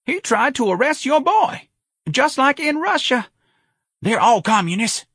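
A man's voice: very high voice, big pitch swings, measured pace, a bit bright, breathy edge, no room sound, clean studio sound, voice pushed up, exaggerated voice. A man voicing an agitated, paranoid old man who cries out accusations in an audiobook. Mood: indignant. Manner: crying out, accusing. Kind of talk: audiobook character voice.

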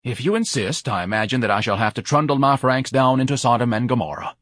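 A man's voice: mid-range voice, quick pace, a bit bright, no echo, studio quality, pitched above the performer's own voice, natural voice. A man voicing a pompous, self-pitying, overeducated fat man who speaks in grand, ornate phrases and is easily outraged, in an audiobook. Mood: haughty lecturing.

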